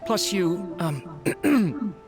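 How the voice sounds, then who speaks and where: A man's voice: sits high, expressive, very slow, over music, heavily acted. A man voicing a nervous, deferential young clan retainer in a video game, hesitant and very polite. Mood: apologetic, uneasy.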